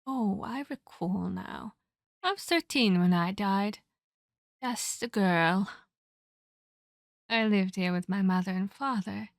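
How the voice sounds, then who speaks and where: A woman voicing the centuries-old ghost of a murdered girl in a horror ASMR roleplay, eerie, menacing and wistful, speaking deliberately with breathy, whispery delivery. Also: mid-range voice, dry recording, clean studio sound. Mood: wistful, nostalgic. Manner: slow, deliberate, theatrical storytelling.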